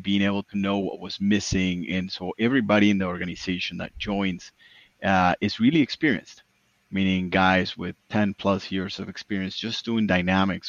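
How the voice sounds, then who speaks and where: A man's voice: low voice, dry recording, muffled recording. A man who founded a consulting firm, talking earnestly in an interview and often adding "right?". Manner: earnest, repeats words, tag "right?". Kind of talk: business interview.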